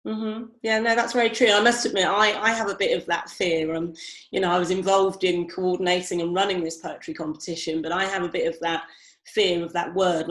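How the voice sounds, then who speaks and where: A woman's voice: lowish voice, reverberant, rough recording. A woman with family roots in Barbados hosting an online interview, friendly and encouraging, with frequent "um"s. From Britain, family from Barbados. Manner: friendly, encouraging, filler-heavy. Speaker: event host and competition organiser. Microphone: video call.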